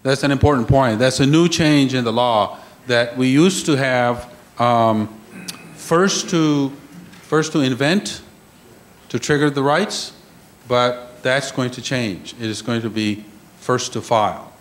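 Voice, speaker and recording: A man's voice: medium pitch, takes it slowly. Man lecturing emphatically at a conference. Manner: emphatic, repeats key phrases, checks in with "okay?". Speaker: conference panel speaker. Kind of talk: lecture on intellectual property. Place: conference room.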